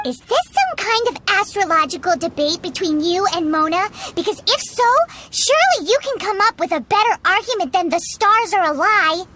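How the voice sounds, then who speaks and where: A woman voicing a small, excitable, childlike fairy companion in a video game, chirpy and blunt, with music behind her. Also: very high-pitched, normal speed, few pauses, background noise. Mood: skeptical. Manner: exclamatory, chirpy, refers to things bluntly. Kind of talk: scripted video game voice acting.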